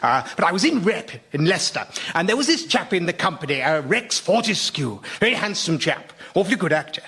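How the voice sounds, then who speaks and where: An actor playing a louche, plummy old thespian who dithers cheerfully through confessions in a radio comedy sketch. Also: male voice, sits high, sing-song, fairly quick, bright, forceful tone, breathy edge, full character voice. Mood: bashfully unrepentant. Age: older. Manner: plummy, dithering, chuckling euphemisms.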